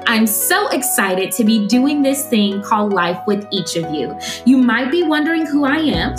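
A woman hosting a podcast, speaking earnestly and emphatically, with phrases stacked in rhythm. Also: on the high side. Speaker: podcast host. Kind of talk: scripted podcast intro and interview hosting.

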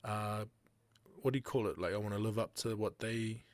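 A man's voice: fairly low pitch, fairly even intonation, normal speed, no echo, clean studio sound. A man with a New Zealand accent hosting a podcast, laid-back and casual, laughing often and calling his guest "bro.". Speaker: podcast host. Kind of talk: casual chat.

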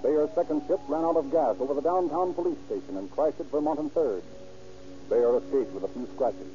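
A man narrating a 1930s radio crime drama in a measured, declamatory way. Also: on the high side, dark tone. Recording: muffled old radio recording over music.

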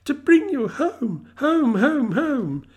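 A man voicing a little girl, gleeful and eager, her voice pitched high. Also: very animated, takes it slowly, a little mellow, full character voice. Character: joyful little girl, a young sister.

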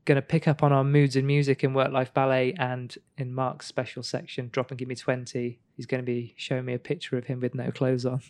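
A man's voice: medium pitch, monotone, a little fast, few pauses, darkish tone, no room sound. A man hosting a podcast, chatting casually and teasing his co-host, laughing often. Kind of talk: casual chat.

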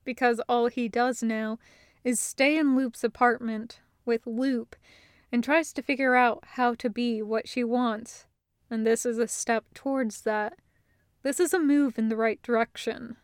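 A woman reading a story as scripted narration, with measured, deliberate phrasing. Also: fairly high pitch, steady tone, clean tone, no echo, studio quality. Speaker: audiobook-style fiction reader.

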